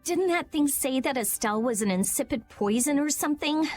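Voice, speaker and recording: A skeptical teenage girl in a video game, impatient and sarcastic. Her voice is fairly high and critical, with faint music behind it. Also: expressive, fairly quick, darkish tone. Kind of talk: animated RPG video game dub. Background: faint background music.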